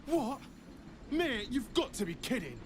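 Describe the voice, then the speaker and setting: A man's voice: very high voice, big pitch swings, a little slow, over music, full character voice. An actor playing a cornered, panicky and sarcastic man in a video game, pleading and incredulous. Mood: desperate. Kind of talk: dramatic video game acting.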